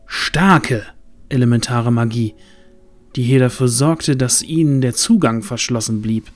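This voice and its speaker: A man's voice: medium pitch, a little slow. A man narrating a scripted fantasy audio drama in a dramatic storytelling manner.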